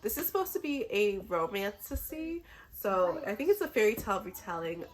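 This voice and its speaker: A woman's voice: mid-range voice, very animated, moderate pace, rough recording. A woman who is a little sick chats casually and enthusiastically to camera as a book vlogger, in an informal way.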